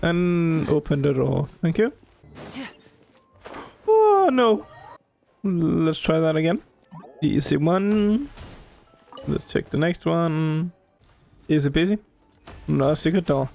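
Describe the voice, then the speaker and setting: A woman gamer with a low voice full of vocal fry comments casually as she plays. Her remarks are short and offhand, with faint game music behind. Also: expressive, slow, long pauses, warm tone. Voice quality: creaky. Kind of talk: casual let's play commentary.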